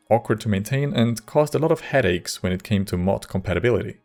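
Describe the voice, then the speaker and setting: Male video narrator: lowish, creaky voice, measured, dryly wry. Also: a little mellow. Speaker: video creator and modder. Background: faint music.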